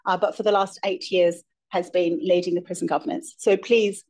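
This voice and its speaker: Woman host, informal and halting, introducing a speaker at a live event. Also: on the low side, fairly even intonation, a little mellow. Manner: informal and halting, with frequent restarts. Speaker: event host, charity chief executive. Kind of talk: introductory remarks at a lecture. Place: live lecture event with an audience.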